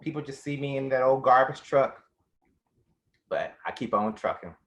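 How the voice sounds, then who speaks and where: A man plays a big-hearted garbage man who has known grief. He is middle-aged, with a medium-pitched voice. His delivery is earnest and plain-spoken, like a dramatic monologue. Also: lively intonation, moderate pace, lightly acted. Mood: sorrowful. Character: big-hearted, grieving garbage man encouraging young graduates.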